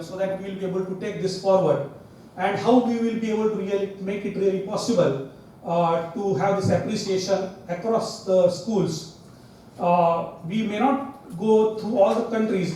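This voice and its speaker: A middle-aged man opens a conference in a large lecture hall, hesitating and repeating words as he speaks. His voice is high for a man. The camera catches him from a distance, with heavy echo and faint noise. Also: a little mellow. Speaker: symposium organizer. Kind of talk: welcome speech at a conference. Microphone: far camera. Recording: echoey lecture hall from far off.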